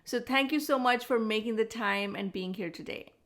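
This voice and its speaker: A woman's voice: fairly high pitch, moderate pace, fairly bright tone. A woman hosting a wellness podcast, introducing the show and interviewing a guest in conversation. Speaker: podcast host, Ayurvedic practitioner.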